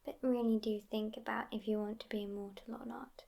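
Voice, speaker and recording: A woman voicing a sassy, affectionate immortal vampire girlfriend in an ASMR roleplay, hushed and often whispering, with a languid delivery. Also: fairly high pitch, steady tone, a little fast, gravelly. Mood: tender, reassuring.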